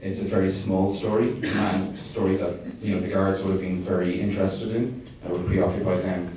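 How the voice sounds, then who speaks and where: A man's voice: low-pitched, level tone, fairly quick, warm tone. A man, a documentary director, answers audience questions at a festival screening, hesitant and full of fillers and restarts. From Ireland. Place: screening hall. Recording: distant echoey hall, faint noise.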